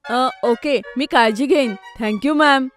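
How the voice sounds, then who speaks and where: A woman voicing a lively, inquisitive young schoolboy in a children's cartoon. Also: high-pitched, slow pace, soft background music. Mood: eager. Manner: inquisitive, eager. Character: lively, food-keen young schoolboy, big brother.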